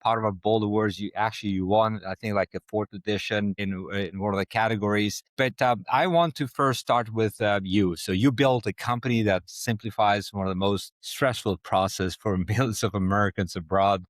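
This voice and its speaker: A man hosting an interview over a video call, enthusiastic and halting, often repeating words as he asks questions. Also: lowish voice, dry recording. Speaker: interview host.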